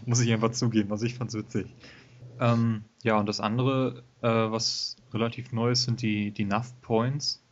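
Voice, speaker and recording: A man co-hosting a casual gaming podcast, talking in a relaxed, hesitant way with many fillers. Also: on the low side, normal speed. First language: German. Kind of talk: casual game review chat. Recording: dull lo-fi home recording.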